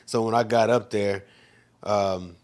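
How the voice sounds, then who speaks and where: A man's voice: lowish voice, steady tone, unhurried, darkish tone. A man co-hosting a casual livestream chat, telling a laid-back story with lots of fillers and restarts. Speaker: co-host.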